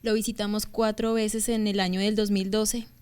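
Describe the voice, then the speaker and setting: Colombian woman, smooth clear voice. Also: mid-range voice, flat delivery, moderate pace, darkish tone. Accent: Bogotá Colombian Spanish. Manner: talkative, hesitant fillers, frequent restarts. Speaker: guest. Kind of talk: conversational interview testimony. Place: TV studio.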